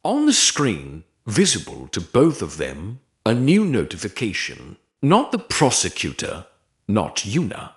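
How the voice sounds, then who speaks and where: A man reads dramatic romance fiction as an audiobook narrator. His voice sits on the low side and moves with wide, sweeping changes of pitch. He goes slowly and deliberately, setting short phrases apart with pauses.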